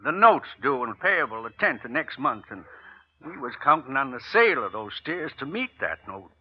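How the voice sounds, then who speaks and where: A man's voice: medium pitch, very animated, moderate pace, slightly rough. A man playing a discouraged, kindly old rancher uncle with a rural American accent in a western radio drama. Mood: troubled. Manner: folksy, plaintive. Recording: muffled old radio recording.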